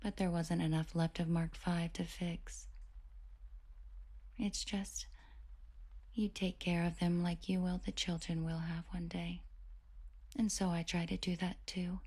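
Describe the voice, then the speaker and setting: Villainess lover , played by a woman, for romance audio: confident, protective, teasing, intimate, unhurried and husky. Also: fairly low pitch, flat delivery, a little mellow. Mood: tender, fond. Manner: intimate, teasing, sweet-talking with breathy whispers. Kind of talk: scripted romantic audio roleplay.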